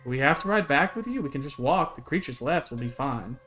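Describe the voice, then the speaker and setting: A man's voice: fairly high pitch, expressive, fairly quick, a bit bright, soft background music. A man voicing a shaken, headstrong young woman vlogger in a visual novel, urgent and questioning. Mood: indignant.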